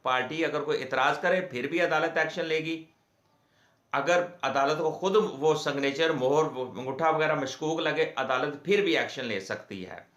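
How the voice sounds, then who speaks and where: A man with a Pakistani accent gives an informal legal explainer in a halting, repetitive, explanatory way, as the host of an advice channel. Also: fairly high pitch, a bit bright. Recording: echoey room.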